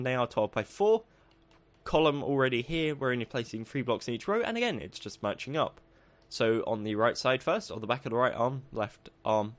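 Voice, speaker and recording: A man with a croaky voice, narrating a building tutorial as a voice-over, upbeat and lively, slowing down as he lists steps. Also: medium pitch. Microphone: voice-over over game footage.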